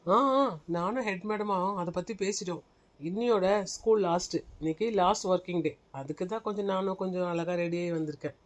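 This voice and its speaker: A woman's voice: fairly low pitch, brisk, natural voice. A woman playing a strict but caring senior schoolteacher in a classroom skit, instructing small children and checking that they agree. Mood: businesslike.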